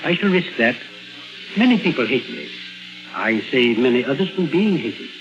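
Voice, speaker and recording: An actor playing a self-assured, probing psychiatrist, firm but caring, with theatrical, deliberate questioning in 1950s radio drama style. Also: male voice, medium pitch, very animated, lightly acted. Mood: firm, measured. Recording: muffled vintage radio recording with music.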